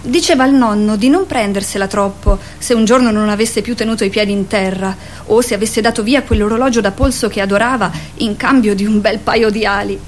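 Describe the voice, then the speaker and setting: A woman playing a tender, wistful mother in a radio drama, speaking in a gentle, reflective way. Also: mid-range voice, brisk, few pauses, slight background noise, lightly acted. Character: wistful, tender adult mother of a young boy. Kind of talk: theatrical radio drama acting.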